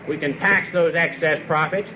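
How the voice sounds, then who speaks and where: A man's voice: on the high side, fairly even intonation, moderate pace. A man speaking in an impassioned, exhortative political style, earnest and rhetorical. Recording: dull, noisy archival radio recording.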